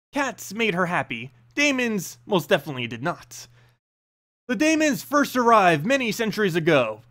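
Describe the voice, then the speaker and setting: A man's voice: sits high, fairly bright tone. A man reading a fantasy story aloud as a narrator, with a dramatic storytelling delivery.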